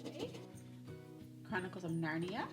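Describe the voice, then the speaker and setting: A woman's voice: on the low side, very animated, normal speed, music in the background. A woman in her thirties presenting as a school librarian host, talking casually to camera for students. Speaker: school librarian hosting a virtual library show.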